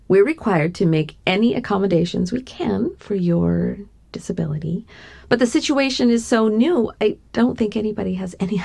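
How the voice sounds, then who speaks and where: A woman playing a gentle giantess secretary in an ASMR roleplay, doting and coy, with a soft, breathy voice that often slips toward a whisper. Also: lowish voice, full character voice. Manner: tender, coy, hesitant, giggly asides. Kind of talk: ASMR roleplay, intimate one-sided conversation.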